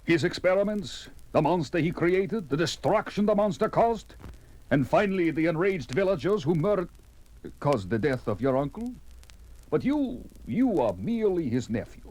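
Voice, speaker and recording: An actor playing a courtly nobleman with hidden sinister intent, formal and theatrical in a 1970s horror audio drama, with a husky voice. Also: on the high side, big pitch swings, normal speed, a little mellow, poor audio. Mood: measured. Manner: formal, smooth-talking, theatrical.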